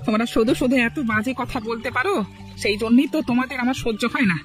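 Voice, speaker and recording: A man's voice: very high voice, fast talker, over loud music. A man voicing a kind, stubborn poor village husband in a cartoon, earnest and protesting. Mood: indignant. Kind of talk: Bengali cartoon story dialogue.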